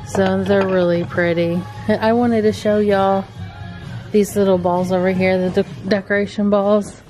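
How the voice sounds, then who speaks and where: A woman's voice: mid-range voice, little pitch movement, measured pace, mellow, faint music behind, poor audio. A middle-aged woman, filming a chatty shopping vlog in a home decor store and gushing over cute items on a handheld camera. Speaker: vlogger. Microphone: handheld camera mic.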